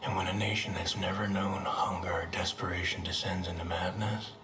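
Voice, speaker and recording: An actor playing a cold, fanatical militant leader in a video game, speaking in a measured, solemn way. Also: male voice, low voice, flat delivery, reverberant, over music, close to an everyday voice. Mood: cold menace. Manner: measured, solemn, deliberate delivery. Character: menacing middle-aged male militant leader, cold and fanatical. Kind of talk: video game cutscene monologue.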